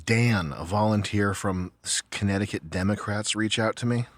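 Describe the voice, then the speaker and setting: A man co-hosting a casual comedy podcast in a deadpan manner. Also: lowish voice, moderate pace, no echo. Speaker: podcast co-host.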